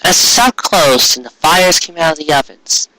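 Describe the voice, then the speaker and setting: A man's voice: a little high, expressive, normal speed, bright, forceful tone, no echo, rough recording. A man narrating a written story expressively, with earnest, dramatic phrasing. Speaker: story reader.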